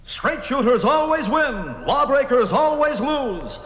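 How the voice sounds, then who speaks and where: A rousing 1940s announcer for a children's cowboy radio club. He declaims drawn-out slogans in a very high voice with long, echoing reverb. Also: expressive, fairly bright tone, heavily acted.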